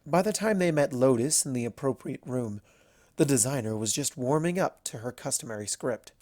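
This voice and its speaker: A man's voice: mid-range voice, lively intonation, normal speed, a little mellow, no echo, clean studio sound. A man reading scripted fiction aloud as the narrator of a story.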